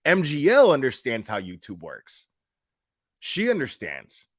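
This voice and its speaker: A man's voice: mid-range voice, wildly swooping pitch, measured pace. A man giving casual, opinionated commentary as a streamer, speaking in a blunt, emphatic, foul-mouthed manner.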